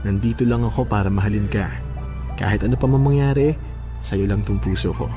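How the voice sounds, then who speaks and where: A man playing a devoted, affectionate young husband in a Filipino radio drama, speaking in a gentle, reassuring way. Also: on the low side, steady tone, a little fast, natural voice. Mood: loving. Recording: faint music behind the voice.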